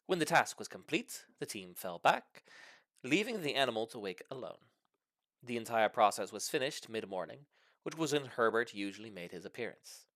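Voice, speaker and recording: A man's voice: medium pitch, big pitch swings, normal speed, fairly bright tone, dry recording. A man reading a funny short story aloud as scripted narration, with theatrical, mock-serious emphasis. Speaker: story reader.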